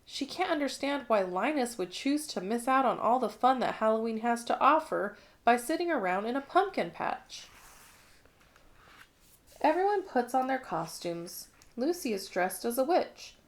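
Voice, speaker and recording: A woman narrating a children's picture book in an expressive read-along style. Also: fairly high pitch, moderate pace. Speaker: storybook reader.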